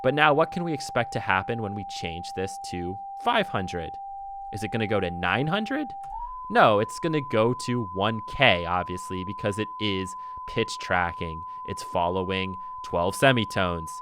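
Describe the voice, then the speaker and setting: Low-voiced man giving a casual software tutorial. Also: very animated, moderate pace, background noise. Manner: explanatory, conversational, thinking aloud. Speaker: music software instructor.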